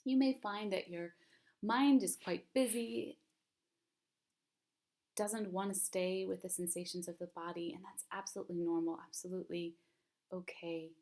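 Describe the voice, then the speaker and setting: A woman leading a guided mindfulness meditation as a teacher, speaking gently and calmly, with unhurried phrasing and long pauses. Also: lowish voice.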